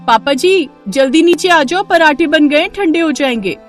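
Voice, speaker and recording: Woman acting a young indian-story daughter-in-law, kinda high voice, caring but pushy, cartoon drama. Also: expressive, normal speed, soft background music.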